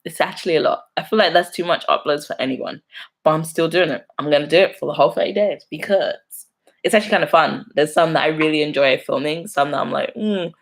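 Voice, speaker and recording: Young london woman rambling on her livestream, low voice, kinda gravelly, laughs a lot. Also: fast. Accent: London English. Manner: chatty, laughs often, self-deprecating. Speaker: YouTuber hosting a live stream. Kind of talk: casual live-stream chat reading comments.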